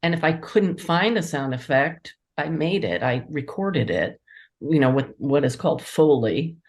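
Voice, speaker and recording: A woman's voice: deep voice, lively intonation, measured pace, a little mellow. A woman speaking conversationally as an interviewed writer, enthusiastic and appreciative in her manner. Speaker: interviewed playwright and editor.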